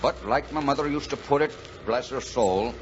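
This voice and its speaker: An actor playing a gruff but kindhearted bachelor delivery driver in a folksy, exclamatory 1940s radio drama style. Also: male voice, mid-range voice, moderate pace, close to an everyday voice. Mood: warm, jovial. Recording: dull, noisy 1940s radio recording.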